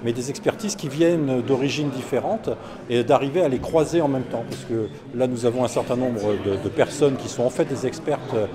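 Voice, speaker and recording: A man's voice: medium pitch, fairly quick. A man giving an explanatory, unscripted interview answer. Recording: busy crowd behind.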